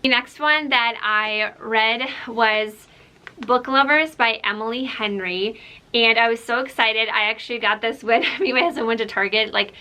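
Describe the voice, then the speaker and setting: A woman's voice: a little high, normal speed, bright, forceful tone, clear, resonant tone. A woman from Ukraine whose first language is Russian, chatting casually to camera as a book vlogger, rambling with lots of "like" and "um".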